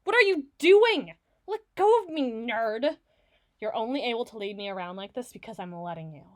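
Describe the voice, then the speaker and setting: A woman's voice: a little high, lively intonation, moderate pace, lightly acted. A woman playing a foul-mouthed, stubborn villain in an audio roleplay, blustering and sarcastic with her partner. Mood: defiant, agitated. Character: brash, foul-mouthed, hot-tempered villain woman, gruffly soft on partner. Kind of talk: ASMR roleplay voice acting.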